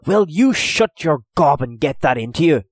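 A man's voice: on the high side, big pitch swings, normal speed, husky, no room sound, pitched above the performer's own voice. A man voicing a grim nobleman, blunt and scolding, recorded dry and close. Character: gruff Irish nobleman companion, grim.

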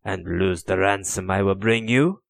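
A man's voice: mid-range voice, lively intonation, normal speed, no echo, studio quality, voice pushed up. A man reading an audiobook, voicing a proud, desperate Spanish colonel held prisoner, with defiant, anguished pleading. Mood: jeering.

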